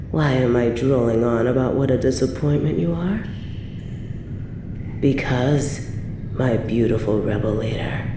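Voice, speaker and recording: A woman playing an evil, possessive medieval queen in a roleplay audio drama, haughty and theatrical with drawn-out, breathy asides. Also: a bass voice, reverberant, background noise. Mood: obsessive, seductive. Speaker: voice actor in a scripted roleplay audio.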